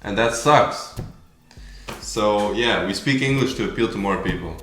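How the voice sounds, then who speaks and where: A young man of about twenty speaks English with a Latvian accent in a low-pitched voice. He chats informally, with some slang, as the founder of a small brand answering questions. The room around him is clearly echoey. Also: unhurried, fairly bright tone, faint music behind. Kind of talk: casual Q&A chat.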